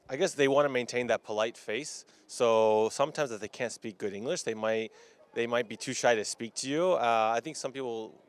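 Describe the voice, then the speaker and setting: A man from Florida with an American accent answering interview questions casually, with halting, hesitant phrasing. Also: mid-range voice, smooth. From Florida, United States.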